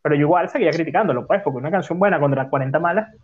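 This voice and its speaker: A man's voice: fairly high pitch, very fast, husky. A man from Venezuela hosting a casual music talk, chatty and joking as he tells stories. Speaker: podcast host. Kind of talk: casual chat, leading a music playlist talk. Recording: dull lo-fi sound.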